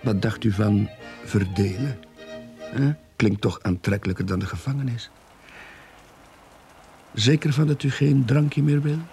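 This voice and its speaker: An actor playing a sly, smooth-talking, easygoing swindler telling his story in a radio drama. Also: mid-range voice, a little slow, long pauses, warm tone, faint music behind. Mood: sly, coaxing.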